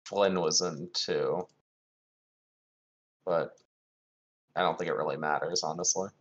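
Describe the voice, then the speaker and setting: A man's voice: sits low, fairly even intonation, takes it slowly. A man chatting casually as a player in a tabletop roleplaying game, on a lo-fi voice channel.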